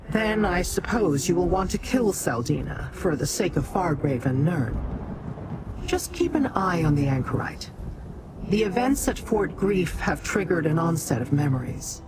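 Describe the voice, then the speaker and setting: A woman's voice: lowish voice, background noise. An actress playing a commanding, guarded female leader in a fantasy video game, speaking formally over music. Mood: instructing. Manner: formal, measured, imperious. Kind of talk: scripted fantasy game dialogue.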